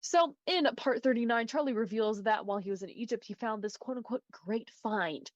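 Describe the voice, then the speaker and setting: A woman's voice: fairly high pitch, a little fast, dry recording. A woman recording a voice message, delivering a fan theory in a playful, dramatic, theatrical way. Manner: playful, dramatic, addresses listeners with pet names. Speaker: listener sending a voice message. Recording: close, dry, muffled lo-fi recording.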